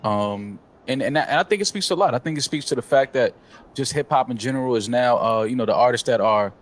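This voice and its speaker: A man co-hosting a talk show, giving opinions in a measured, filler-heavy way. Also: mid-range voice. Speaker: podcast co-host. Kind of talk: casual chat.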